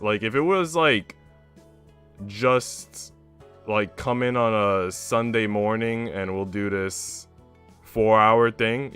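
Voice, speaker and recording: A man's voice: fairly low pitch, fairly bright tone, smooth. A man chatting casually on a stream in a conversational, slangy way. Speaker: streamer, works at a school. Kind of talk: casual stream chat between visual novel readings.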